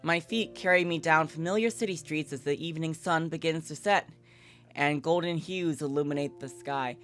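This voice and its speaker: A woman's voice: low-pitched, normal speed, a bit bright. A woman livestreaming a visual novel, reading it aloud and chatting candidly in casual, sweary language. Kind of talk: gaming livestream with read-aloud and casual commentary. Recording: background music under the voice. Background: game music.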